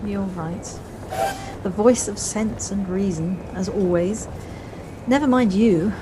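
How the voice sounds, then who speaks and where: A woman in an audio play voicing a brisk, fretful, well-spoken wife with a wry, slightly snobbish manner, recorded at home on a personal device. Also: medium pitch, noisy background, close to an everyday voice. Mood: fond.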